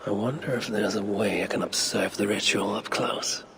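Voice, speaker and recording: An actor playing a shrewd, gruff Norse god in a game cutscene, speaking in a deliberate, sardonic, investigative manner. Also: male voice, fairly low pitch, fairly even intonation, over a noisy crowd. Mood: brooding. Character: shrewd Norse god searching for his son, gruff and resolute. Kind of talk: dramatic game cutscene acting.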